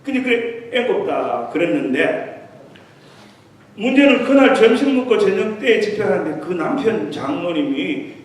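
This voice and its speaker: A middle-aged man tells stories from the pulpit in an animated sermon, his voice rising and falling widely and sitting a little high. He sounds far from the microphone, and the church hall gives him a heavy, long echo. A faint hiss runs underneath. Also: a little slow. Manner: animated, anecdotal, mixes English terms. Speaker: guest revival pastor. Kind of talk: storytelling sermon. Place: church sanctuary.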